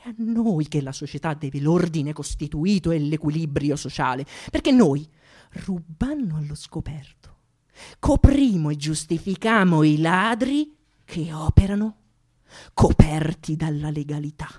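A woman's voice: low-pitched, expressive, a little slow. A woman playing an impassioned Roman thief with a Roman accent, delivering a theatrical mock funeral oration in a declamatory, rhetorical way. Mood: reverent, proud. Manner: declamatory, rhetorical, swinging from hushed to shouted. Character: impassioned Roman thief eulogizing a dead comrade.

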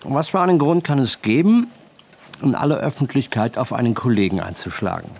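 An actor playing a stern police superior in a radio drama, formal, sarcastic and husky. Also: male voice, medium pitch, lively intonation, a little fast, darkish tone.

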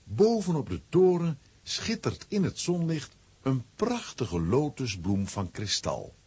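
A man narrating a children's adventure audio drama in scripted storyteller style, with a very husky voice. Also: mid-range voice, huge pitch swings, slow, darkish tone, heavily acted. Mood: storytelling.

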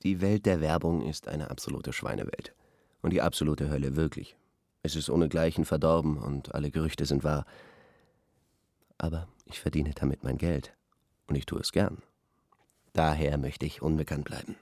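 Very deep, solemn advertising man. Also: steady tone, normal speed, darkish tone. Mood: jaded, emphatic. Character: earnest, jaded advertising man, devoted admirer of an artist. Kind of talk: radio drama mock interview.

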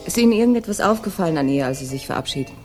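An actress playing a cool, forthright woman who teases and probes with brisk irony in a 1960s German radio crime drama. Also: sits low, animated, darkish tone, soft background music. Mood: probing. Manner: brisk, ironic, self-assured. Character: cool, forthright, teasing woman asking probing questions.

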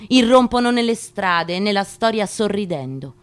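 A woman giving a dramatic literary reading, measured and emphatic, pausing between short phrases. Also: medium pitch, animated. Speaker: actress giving a staged reading.

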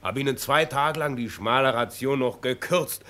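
An actor playing a bold, boastful young soldier who tells tales with a swagger, in radio drama style. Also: male voice, medium pitch, moderate pace, fairly bright tone, lightly acted. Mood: smug. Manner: swaggering storyteller.

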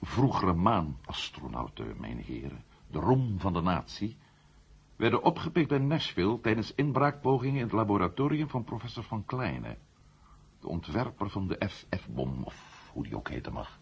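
A confident maverick ex-astronaut in an old radio drama. His voice is very low and animated, and he argues point by point. The recording is muffled. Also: normal speed, creaky, husky, exaggerated voice. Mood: probing, confident. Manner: argumentative, rhetorical, building his case point by point. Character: outspoken ex-astronaut turned maverick writer, male, confident and provocative. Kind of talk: 1970 Dutch radio drama acting.

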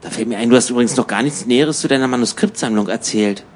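A man's voice: medium pitch, fast. A man in conversational radio banter, asking probing questions in a dry way.

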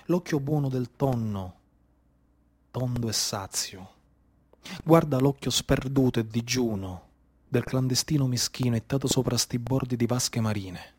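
A man with a Sicilian accent performing a dramatic storytelling monologue in a declamatory, incantatory way with deliberate, repeated phrasing. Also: mid-range voice, slightly rough. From Sicily, Italy. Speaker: stage storyteller, actor.

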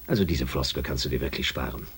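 An actor playing an educated, easily irritated spaceship commander who explains things impatiently in a science fiction radio drama. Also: male voice, rumbling low, fast, fairly bright tone.

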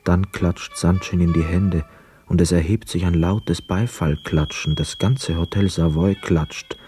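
An actor playing a brooding, hesitant ex-prisoner of war, telling his story in a measured, introspective way in a radio drama. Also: deep voice, steady tone, warm tone. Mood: dreamy, sombre. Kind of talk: literary radio drama narration and dialogue. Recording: close dry studio, music behind. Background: music.

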